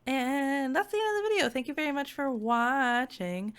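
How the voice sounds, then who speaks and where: A woman's voice: sits high, lively intonation, normal speed. A woman giving a casual, chatty game review in an enthusiastic, informal and giggly manner. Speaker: video game reviewer and streamer.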